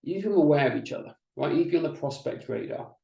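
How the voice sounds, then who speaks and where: A man's voice: on the high side, very fast, vocal fry, dull audio. Chatty man teaching in an echoey room. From Wales. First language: Welsh. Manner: energetic, chatty, with frequent restarts and "right?" checks. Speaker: business coach presenting a webinar. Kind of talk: presenting slides in an online masterclass.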